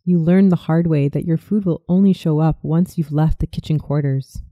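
A narrator reading a scripted guided visualization story in a soothing, measured manner. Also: medium pitch, steady tone, no echo, lo-fi sound.

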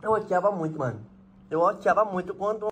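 A man's voice: high-pitched, a little fast, fairly bright tone, slightly rough. A young man streaming from home, chatting casually in a laid-back, slangy way. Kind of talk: casual livestream chat. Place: home kitchen.

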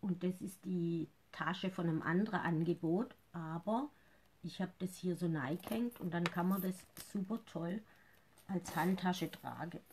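A woman's voice: fairly low pitch, a little slow, darkish tone, a little hiss or hum. A woman in her fifties hosting a casual live makeup tutorial from her living room, chatty and a bit nervous, often trailing off into mumbles, heard through a webcam at desk distance. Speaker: makeup seller and live-stream host.

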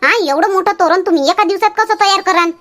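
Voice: very high-pitched, fast, pushed and bright. A performer voicing a busy, nagging village housewife and mother in a cartoon comedy, with a rural Vidarbha Marathi accent.

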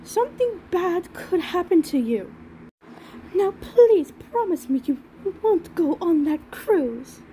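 A woman's voice: very high-pitched, very animated, slow, warm tone, a little hiss or hum, exaggerated voice, rough recording. Woman as a stern, refined lady unicorn. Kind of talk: fan comic dub acting.

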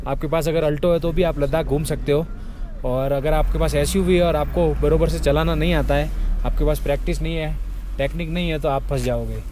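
A man's voice: a little high, fairly even intonation, a little fast, a little mellow, a little hiss or hum. A man in his thirties vlogging a mountain road trip from inside a car, casually pointing out the sights to his viewers. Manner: chatty, pointing things out to viewers. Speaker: travel vlogger.

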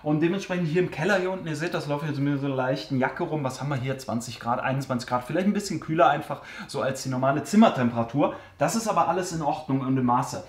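A man's voice: on the high side, quick pace, few pauses, echoey room. A man hosting a car care channel, casually answering viewer questions with frequent fillers and joking asides, recorded in a basement. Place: basement storage room.

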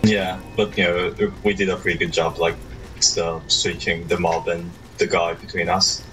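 A man's voice: deep voice, little pitch movement, normal speed, far from the mic, over music, low-quality recording. A man casually chatting with a friend over voice chat while they play together. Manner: easygoing, slangy banter. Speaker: co-op gaming partner.

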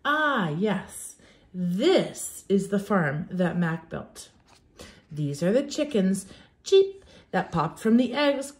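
A young woman teacher reading aloud for young children in an emphatic, theatrical, sing-song way. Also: on the high side.

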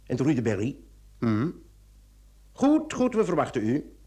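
A man playing an excitable, wry police commissioner in an old Dutch radio drama, with a theatrical delivery. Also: medium pitch, normal speed, a little hiss or hum. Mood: brisk, wry.

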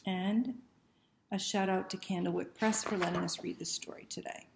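A woman's voice: medium pitch, steady tone, normal speed, gravelly. A middle-aged woman reading a picture book to children as a library storyteller, often asking questions and inviting them to join in. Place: small room indoors. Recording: roomy, faint background noise.

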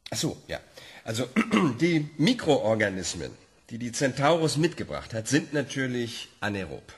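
A man's voice: mid-range voice, very animated, moderate pace, close to an everyday voice. An actor playing an eager male biology professor who is easily flustered. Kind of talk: radio play acting.

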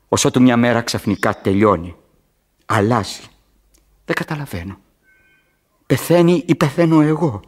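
A resentful, worn-down servant in an absurdist radio play. The voice is husky and widely varied in pitch, with a brooding, sardonic, theatrical delivery. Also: male voice. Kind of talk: radio theatre acting in Greek.

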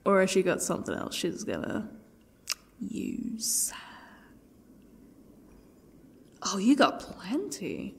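A woman playing a defiant, sardonic captive in an audio roleplay, speaking haltingly and often sinking toward a whisper. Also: fairly high pitch, slight background noise, full character voice. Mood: sarcastic, taunting. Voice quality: husky, breathy, creaky. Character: defiant, sardonic captive woman facing her ex's torture. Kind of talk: audio roleplay, one-sided dialogue.

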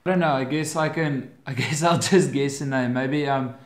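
A man's voice: medium pitch, normal speed. Young man with an Afrikaans accent, echoey room. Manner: hesitant, restarts phrases with many fillers. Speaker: vlogger. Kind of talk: casual Q&A vlog. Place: bedroom at home, indoors. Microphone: webcam at desk.

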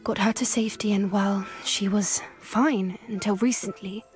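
A woman's voice: medium pitch, steady tone, normal speed, natural voice. An actress playing a determined young woman who protects a hippogriff, in a video game. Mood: earnest. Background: faint game music.

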